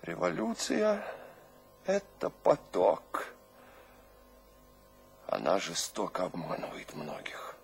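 An actor playing a feverish, exalted poet tormented by visions, declaiming dramatically in a radio play. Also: male voice, on the low side, gravelly, heavily acted. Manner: declamatory, dramatic, shifting between hush and outcry. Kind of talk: theatrical radio drama monologue.

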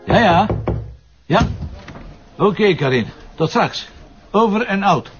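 An actor with a Flemish accent playing a confident, wry and brisk police commissioner in a TV crime drama. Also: male voice, high voice, lively intonation, faint music behind. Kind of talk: naturalistic TV crime drama acting. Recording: muffled TV soundtrack with clear background noise.

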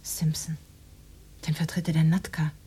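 Radio play role: composed secretary, lowish and very husky, polite, gently questioning. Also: female voice, level tone, moderate pace. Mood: businesslike. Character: dutiful, composed female secretary to a poet. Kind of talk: German radio play acting.

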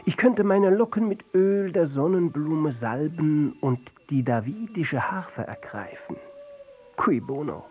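An actor playing a pompous, world-weary fantasist poet, declaiming grandly in an avant-garde radio play. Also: male voice, fairly high pitch, a little mellow, slight background noise. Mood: disdainful, musing.

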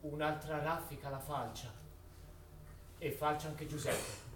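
Male actor-narrator, dramatic scripted storytelling, high voice, distant mic, echoey and noisy. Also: fairly even intonation, unhurried.

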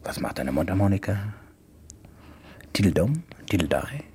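A man's voice: low-pitched, sing-song, moderate pace, a little mellow, husky. A man talking playfully to a small child, with repeated coaxing questions and nonsense words.

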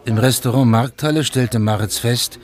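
A man's voice: mid-range voice, moderate pace, a little mellow. A man narrating a scripted radio drama in a sober, factual manner, in short plain statements.

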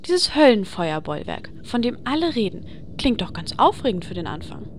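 A woman playing a pragmatic, deadpan fantasy game adventurer who leads her group, in a comedy audio play. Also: a little high, normal speed, background noise. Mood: matter-of-fact. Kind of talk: audio comedy parody acting.

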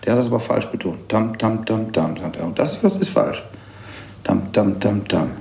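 A man's voice: lowish voice, normal speed, vocal fry, mellow, faint music behind. A man chatting casually and emphatically as an interviewee, correcting and tapping out rhythms with his voice. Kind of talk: casual chat with sung rhythm examples. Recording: muffled, echoey room.

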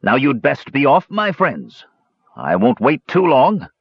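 A man's voice: mid-range voice, wildly swooping pitch, measured pace, husky, no echo, lo-fi sound, voice pushed up, full character voice. A man doing a fat sneering hobgoblin warlord. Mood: snappish. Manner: blustering, sneering. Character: fat pompous hobgoblin warlord, cruel, male.

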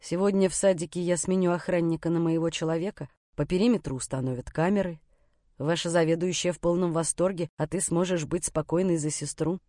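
A woman voicing a confident, commanding man in an audiobook, speaking in a calm, sardonic, curt manner. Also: fairly low pitch, a little fast, no echo, studio quality, close to an everyday voice.

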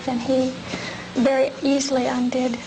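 An American woman recounts her past in a subdued way. Her voice is high and flat, with a lot of creak. The archive interview recording is noisy. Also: a little slow. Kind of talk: spoken personal recollection. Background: noise.

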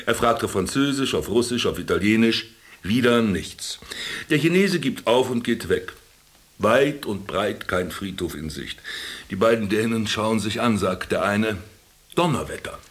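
Sardonic ex-businessman, animated and dry. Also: fairly low pitch, a little slow. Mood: joke-telling. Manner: dry, ironic, theatrically lecturing. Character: sardonic former businessman stranded in a camp, teasing his companion. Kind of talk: German radio play dialogue.

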